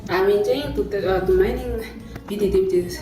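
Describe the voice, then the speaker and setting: Woman from Namibia, halting friendly testimonial, roomy echo. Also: mid-range voice, expressive, over music. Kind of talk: video testimonial. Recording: echoey room.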